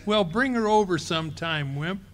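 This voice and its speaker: An actor playing a boastful, blustering middle-aged husband in a live radio play, who cracks jokes and stammers when cornered. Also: male voice, sits high, expressive, normal speed, a little hiss or hum.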